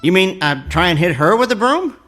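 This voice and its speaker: Radio drama casting: a deferential, tinkering husband, timid but keen, bright and animated, fairly high. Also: male voice, a little fast, soft background music, full character voice. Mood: sheepish. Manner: hesitant, stammering, deferential. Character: mild, henpecked male amateur inventor, eager and timid.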